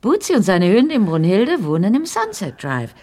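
A woman's voice: mid-range voice, wildly swooping pitch, moderate pace, mellow. An actress playing an older, gossipy small-town woman in an audio drama, talkative and emphatic. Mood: chatty, gossipy.

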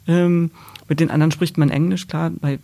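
A woman guest talks about her work as a sports journalist. Her delivery is level and unvaried in pitch, and she thinks aloud, pausing and restarting her sentences as she goes. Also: fairly even intonation, mellow. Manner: thoughtful, hesitant restarts. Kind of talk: podcast interview answers.

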